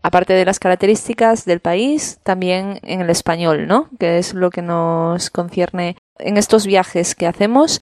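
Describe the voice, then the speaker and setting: A woman's voice: on the low side, unhurried, hardly any pauses, no room sound, studio quality. A woman with a Peninsular Spanish accent hosting a language-learning podcast, an engaged interviewer who backs her guest with frequent murmurs of assent. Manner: engaged interviewer, frequent "ujú" backchannels and soft laughs. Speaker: podcast host.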